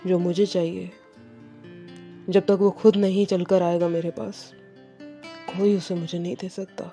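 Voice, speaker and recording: A woman's voice: fairly low pitch, level tone, unhurried, dark tone. A woman voicing a cool, confident young soldier man in a dramatized audio story. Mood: teasing. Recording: music clearly behind the voice.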